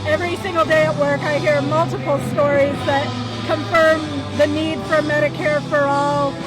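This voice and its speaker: High, flat-voiced woman, earnest testimony; loud music bed. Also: normal speed, rough recording. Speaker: crisis call center worker. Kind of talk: personal testimony.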